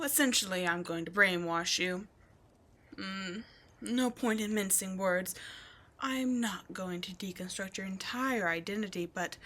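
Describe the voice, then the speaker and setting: A woman plays an imperious demon queen in an ASMR roleplay. She is cruel and seductive, drawing out her words very slowly in a medium-pitched voice that often slips toward a breathy whisper. Clear background noise sits behind her. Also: fairly bright tone, close to an everyday voice. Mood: calm, controlling. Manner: slow, deliberate, haughty, often breathy and whispered. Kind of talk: ASMR roleplay villain monologue.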